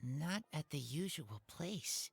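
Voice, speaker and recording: A wild boy from a video game speaks slowly in short, broken phrases. He sounds earnest and simple, with faint music underneath. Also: a little high, lively intonation, vocal fry, full character voice. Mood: puzzled. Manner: halting, clipped broken phrases. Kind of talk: video game character acting.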